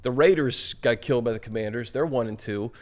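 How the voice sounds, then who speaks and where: A man's voice: mid-range voice, brisk, darkish tone. A man with a middle-range voice hosts a sports show. He talks conversationally, setting up topics and reading copy like a seasoned presenter. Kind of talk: conversational sports talk with sponsor read.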